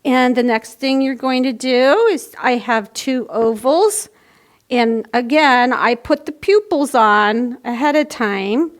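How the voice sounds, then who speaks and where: A woman's voice: high-pitched, takes it slowly. A woman presenting a library storytime, casually explaining a children's craft in simple steps.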